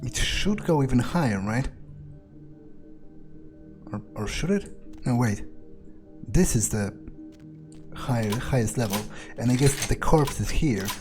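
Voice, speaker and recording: Male gamer, in-character playthrough narrator, casual self-talk with salty language, average pitch, clear music underneath. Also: expressive, slow pace, pauses often. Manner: musing to himself, casual, swears freely. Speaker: gamer playing in character as a vampire. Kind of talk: in-character video game playthrough, thinking aloud. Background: music behind the voice.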